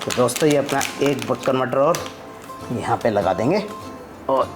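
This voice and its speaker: A man's voice: mid-range voice, expressive, moderate pace. A man hosting a casual vlog, chatting informally and explaining things as he works on a hands-on project. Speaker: vlog host and father. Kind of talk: casual chat while doing a DIY project. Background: clear background music.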